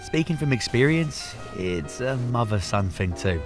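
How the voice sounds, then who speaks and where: An actor as a cheeky, slow-talking young man. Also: mid-range voice, lively intonation, soft background music, natural voice. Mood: awkward, polite. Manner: incredulous, bantering, hesitant. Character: Robin, cheeky, awkward young visitor. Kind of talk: naturalistic audio drama acting.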